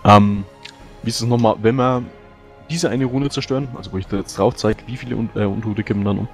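Player, male, rapid delivery, low voice, quick restarts, faint music bed. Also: steady tone. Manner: quick, restarts often, agreeable. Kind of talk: tabletop strategy discussion.

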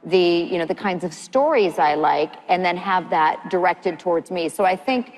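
A woman in her forties, a news anchor, moderating a panel on stage in a chatty, animated way with a lapel mic. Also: fairly low pitch, normal speed. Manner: animated, gesturing, chatty with fillers. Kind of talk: conversational panel talk. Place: large hall stage. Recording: long hall reverb, faint room noise.

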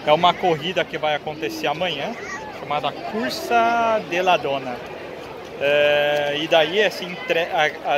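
A man in his thirties with a Brazilian Portuguese accent, casually vlogging outdoors from a phone at arm's length. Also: a little high. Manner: chatty, pointing things out while walking. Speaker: travel vlogger. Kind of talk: casual vlog narration. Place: outdoors in a busy town square and park. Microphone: phone held at arm's length. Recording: crowd and street noise.

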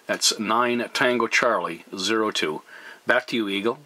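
A man talking as a CB radio operator, courteous and deliberate, using procedure words. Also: on the low side, sharp tone, rough recording. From Canada.

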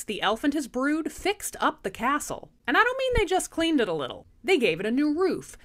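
A woman tells a scripted fairy tale with wry humor. Her voice sits fairly high and swings in a very lively way. The delivery is irreverent and comic. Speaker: storyteller. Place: small room indoors. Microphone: small handheld lavalier microphone.

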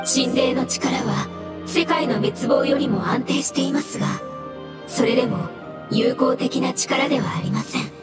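Game role: cautious, formal fungus spirit, high measured voice in heavy echo over music. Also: female voice. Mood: polite, concerned. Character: polite, cautious floating water fungus spirit. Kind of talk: video game character acting. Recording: echoing voice effect over music.

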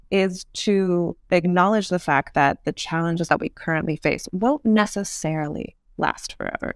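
A woman's voice: lowish voice, dry recording, clean studio sound. Woman explaining ideas, closely and dryly recorded. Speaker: podcast guest, author and urban design expert. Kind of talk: explanatory interview talk.

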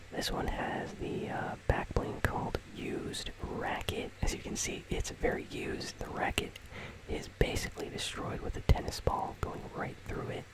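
A host whispering casual, conversational ASMR commentary on a video game in a breathy, unvoiced voice, close to the microphone. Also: poor audio. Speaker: host of a gaming news channel.

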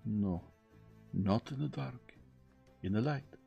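A man's voice: on the low side, takes it slowly, vocal fry, lo-fi sound, faint music behind, full character voice. A man voicing a vain, precise middle-aged detective with a French accent who slips in French phrases. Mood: insistent.

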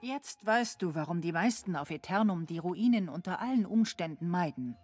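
A woman playing a solemn mentor in a fantasy game, earnest and measured as she advises and cautions. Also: on the low side, expressive, darkish tone, husky, no room sound. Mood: grave warning. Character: solemn female mentor advising a brave adventurer.

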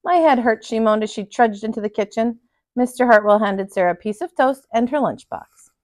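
A woman in her thirties, a kindergarten teacher, reading a children's picture book aloud at home with expressive voices. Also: a little high, fairly quick, no room sound, studio quality. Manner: expressive, lively character voices. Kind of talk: children's storybook read-aloud. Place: living room at home.

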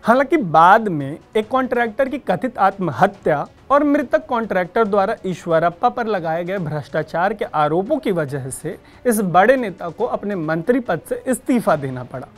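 A man presents news with a lively, swinging intonation. He explains things in an emphatic, conversational manner, with music faintly behind him in the studio. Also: high voice, normal speed, few pauses. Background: background music.

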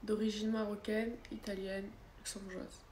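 A woman's voice: medium pitch, little pitch movement, moderate pace, a little mellow. Young woman with a cold, casual phone livestream from a sofa, roomy echo, faint background hiss. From France. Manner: chatty, reads and answers comments aloud. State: has a cold. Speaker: livestreamer answering viewer comments. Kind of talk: casual live chat. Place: living room, seated on a sofa, indoors. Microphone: phone camera at arm's length. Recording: echoey room with faint background noise.

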